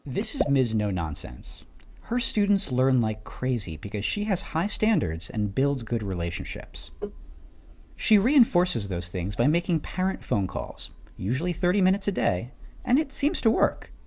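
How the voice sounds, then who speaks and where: A man's voice: mid-range voice, wildly swooping pitch, a little slow, a little mellow. A man reading a scripted explainer narration in a playful storytelling way, with emphatic stresses. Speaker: narrator of a teacher training video.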